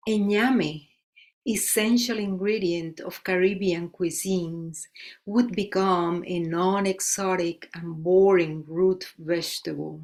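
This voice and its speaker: A woman from Colombia recites her poetry in English. She reads slowly and deliberately, and her voice sits a little low. She speaks the words in her lines with care. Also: fairly even intonation, a little mellow. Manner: slow, measured recitation with Spanish words. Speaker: poet. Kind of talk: poetry reading.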